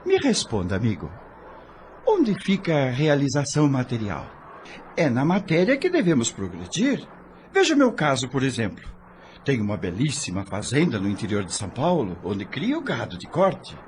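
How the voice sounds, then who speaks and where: A man's voice: medium pitch, huge pitch swings, moderate pace, darkish tone, slight background noise. An actor playing a jovial, boastful, worldly middle-aged man in an audio drama, hearty and self-satisfied, given to chuckling. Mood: boastful, challenging.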